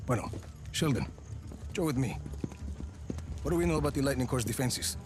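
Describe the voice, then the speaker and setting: An actor playing a serious, brooding action hero in a video game, with a Spanish accent and clipped, terse delivery. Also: male voice, mid-range voice, expressive, normal speed, natural voice. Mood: urgent, commanding. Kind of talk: video game cutscene acting. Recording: music behind the voice.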